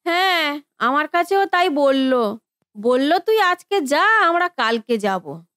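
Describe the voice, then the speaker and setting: A woman's voice: high-pitched, very animated, takes it slowly, a bit bright, no room sound, studio quality, exaggerated voice. A woman voicing a touchy, defensive married eldest daughter in a Bengali family cartoon, with an emphatic, complaining manner. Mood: chatty.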